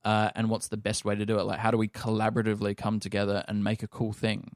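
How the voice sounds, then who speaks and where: Low Australian man explaining casually. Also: monotone, quick pace, dry recording, clean studio sound. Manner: thoughtful, informal, frequent hedging with "like". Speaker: actor and podcast panellist.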